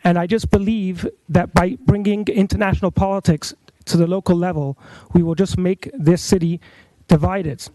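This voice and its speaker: A middle-aged man who is a rabbi, giving an earnest, measured public comment at a council meeting podium microphone. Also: high voice, steady tone, dark tone, no echo, rough recording. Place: council chamber.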